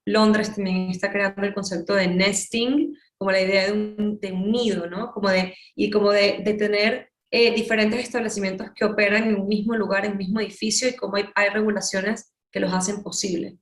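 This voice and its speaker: A woman with a Venezuelan accent speaks as an interview guest, explaining things in an articulate way. Her pitch sits in the middle range for a woman. The recording is roomy, with clear echo around the voice. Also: level tone, moderate pace, few pauses. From Caracas, Venezuela. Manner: articulate, explanatory, builds points with examples. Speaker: interviewee, urban nightlife consultant. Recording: roomy, echoing room sound.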